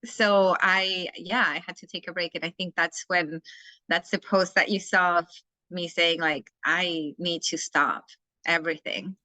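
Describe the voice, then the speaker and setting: Frank Chilean woman, bright dry voice. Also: lowish voice, fairly even intonation, a little slow, dry recording, low-quality recording. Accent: Chilean Spanish. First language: Spanish. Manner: candid, frank and outspoken. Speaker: guest, life coach. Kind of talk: casual interview conversation.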